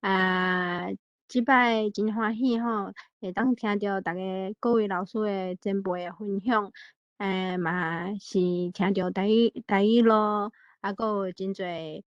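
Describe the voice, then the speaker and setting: A woman with a Taiwanese accent making a casual, heartfelt comment in an online meeting, halting and emotional. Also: medium pitch, fairly even intonation, dry recording. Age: young adult to middle-aged parent. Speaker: audience participant. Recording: dry, dull online meeting audio.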